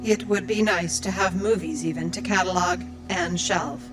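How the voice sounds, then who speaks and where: A woman's voice: lowish voice, fairly even intonation, moderate pace, natural voice. A woman playing a dutiful, earnest android librarian in an audio drama, speaking in formal, precise, procedural phrases. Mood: dutiful, methodical. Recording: music behind the voice. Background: music.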